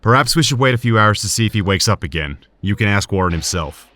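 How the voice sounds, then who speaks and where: An actor playing a serious, cautious hospital surgeon in an audio drama, speaking in a measured, formal way. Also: male voice, fairly low pitch, lively intonation, bright, forceful tone, no echo. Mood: grave, measured.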